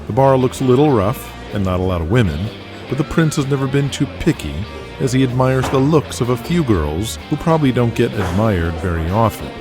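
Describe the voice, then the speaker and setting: A man with an American accent reading dramatic scripted narration for an audio drama. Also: mid-range voice.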